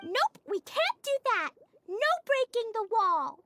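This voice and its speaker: A woman's voice: very high-pitched, sing-song, slow, over music, heavily acted. A woman voicing a cheerful, innocent little girl in a video game, earnest and childlike. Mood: matter-of-fact.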